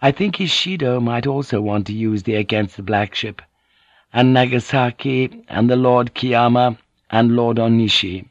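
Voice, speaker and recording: A man's voice: mid-range voice, normal speed, dry recording, muffled recording, lightly acted. A man voicing a devoted, proud young noblewoman, tender yet fiery, with formal, archaic, earnest speech in an audiobook. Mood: tender, reassuring. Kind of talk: audiobook character dialogue.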